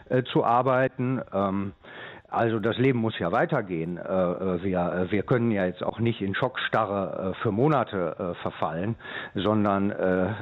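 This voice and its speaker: A man speaks over a narrow telephone line in a low voice. He answers as an interviewee on live news, hesitating often as he forms his sentences. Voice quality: hesitant delivery, frequent pauses. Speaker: political official and eyewitness giving a phone interview.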